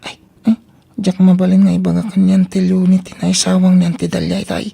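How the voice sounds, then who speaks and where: Meek, soft-toned young Filipino helper played by a woman. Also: fairly low pitch, monotone, fairly quick, gravelly, slightly rough, heavily acted. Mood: hesitant, sheepish. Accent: Filipino (Ilocano). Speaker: radio drama actor. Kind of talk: Ilocano comedy radio drama acting.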